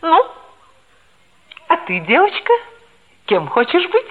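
Slow, swooping stern schoolmistress. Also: female voice, very high-pitched, wildly swooping pitch, heavily acted. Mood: prodding. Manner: theatrical, didactic. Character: stern, pompous female schoolteacher, moralizing. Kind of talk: 1960s radio play acting. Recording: tinny vintage 1960s radio recording.

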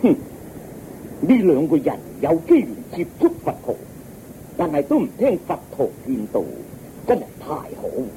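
A man's voice: a little high, wildly swooping pitch, normal speed, warm tone, slight background noise, low-quality recording. An actor playing a devout, stern king with a stately, emphatic delivery in Hong Kong Cantonese radio drama. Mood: stern, indignant. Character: devout, stern king, earnest and easily indignant.

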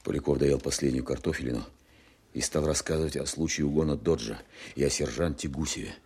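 An actor playing an attentive, businesslike Soviet counterintelligence officer in an audio drama, measured and matter-of-fact. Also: male voice, a bass voice, darkish tone, slightly rough. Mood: measured recounting. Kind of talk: audio drama narration and dialogue.

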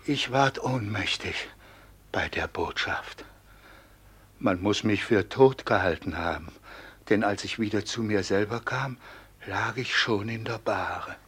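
A man's voice: on the low side, a bit bright, breathy edge, full character voice. An actor playing a starved, imprisoned old father, frail and solemnly lamenting in a classic radio drama. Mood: grieving. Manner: slow, lamenting, solemn declamation.

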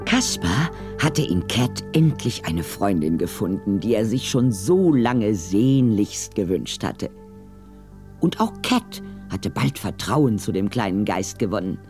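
A man narrating a German audio play in a measured, articulate scripted storytelling style. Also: lowish voice, sing-song, a little mellow. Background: faint music.

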